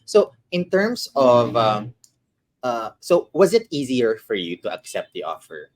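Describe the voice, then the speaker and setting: A man's voice: mid-range voice, huge pitch swings, unhurried. A male interviewer asking questions hesitantly, with many fillers, mixing Filipino and English.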